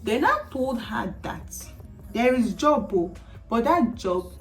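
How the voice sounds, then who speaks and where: A woman in her thirties from Nigeria with a Nigerian accent, chatting casually to camera as a vlogger, animated, laughing often and slipping into Nigerian Pidgin phrases. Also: on the high side, takes it slowly. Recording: heard from a distance, with faint music.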